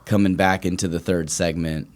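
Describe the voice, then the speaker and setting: A man with a fairly low voice talks as a podcast host. His delivery is casual and conversational, with informal, joking banter. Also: level tone, moderate pace.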